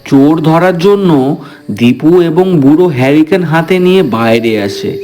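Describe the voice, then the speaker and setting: A man's voice: on the high side, lively intonation, normal speed, a little mellow, rough recording. A man narrating a scripted horror story for a cartoon.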